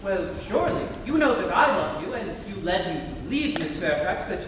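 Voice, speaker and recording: An earnest gentleman suitor, played by a young man, speaks with hesitant, nervous politeness. It is stage-comedy acting. The voice is distant, in a very echoey hall with faint noise. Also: sits high, sing-song, a little fast. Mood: ardent, nervous. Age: young adult. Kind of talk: stage dramatic reading of Victorian comedy.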